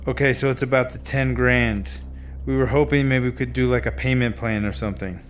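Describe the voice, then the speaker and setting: A man's voice: mid-range voice, steady tone, fairly quick, natural voice. Guy acting uneasy pleading dude in an audio drama, slangy and hesitant, bit of music behind. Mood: eager, uneasy. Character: Sanchez, pleading, uneasy young man in trouble. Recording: music under the voice.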